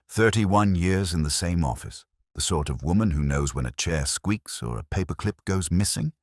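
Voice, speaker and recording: A man narrating a mystery story in a measured storytelling manner, with a husky voice. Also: very deep voice, no echo, studio quality.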